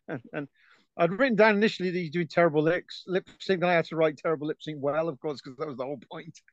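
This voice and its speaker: A man's voice: on the high side, fast talker, dry recording. A man co-hosting a casual film podcast who laughs often and trails off into fillers. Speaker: podcast co-host. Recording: dry, dull lo-fi sound.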